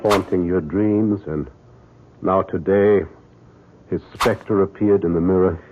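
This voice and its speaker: An actor playing an older, kindly doctor in an old radio drama, avuncular and reassuring, with small hesitations. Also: male voice, low voice, steady tone, a little slow, soft background music. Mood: soothing. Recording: narrow noisy old radio broadcast.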